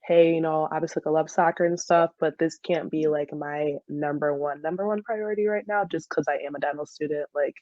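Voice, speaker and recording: A woman's voice: low-pitched, steady tone, a little fast, few pauses, darkish tone, no echo. A woman, chatting casually and informally as an interview guest on a dull recording. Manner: chatty, frequent laughter and filler "like". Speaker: podcast guest, soccer player and dental student.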